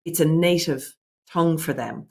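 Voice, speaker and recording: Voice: mid-range voice, moderate pace, vocal fry. A business owner answering interview questions earnestly and reflectively, working through ideas point by point.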